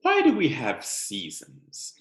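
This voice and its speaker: A man with a mid-pitched voice reads a children's science book aloud like a teacher. His intonation moves up and down in a lively way as he explains things directly to young listeners. The sound is roomy, with noticeable echo. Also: slow pace. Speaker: teacher reading aloud to students.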